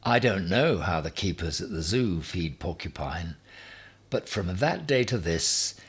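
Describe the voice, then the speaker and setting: A man narrating a children's story from a text as an expressive storybook reader. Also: sits low, a little slow, husky.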